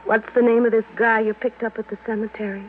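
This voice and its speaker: A woman's voice: a little high, little pitch movement, quick pace, phone-quality sound, close to an everyday voice. A woman acting the anxious, questioning wife of a fugitive in a 1940s radio drama. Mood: suspicious, uneasy. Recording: thin, noisy old radio recording.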